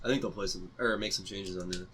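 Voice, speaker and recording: A young man co-hosting a sports podcast, relaxed and agreeable, often moving the talk on to the next topic. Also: low-pitched, fast, crowd noise. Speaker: podcast co-host. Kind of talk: casual sports chat. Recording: faint background hiss.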